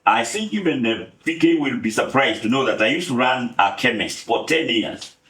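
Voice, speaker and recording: A man's voice: medium pitch, expressive, a bit bright. Older man telling stories in an echoey room. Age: 70s. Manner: unhurried, humorous, many filler pauses. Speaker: guest speaker. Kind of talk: relaxed storytelling talk.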